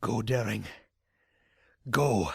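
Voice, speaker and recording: A man acting a gravelly, weak, weary pony father. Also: fairly low pitch, dry recording, clean studio sound, heavily acted. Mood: quietly resigned. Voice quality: raspy, breathy, weak. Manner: slow, strained, gently insistent. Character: weary, wounded older male pony, gentle self-sacrificing father. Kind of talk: audio drama character acting.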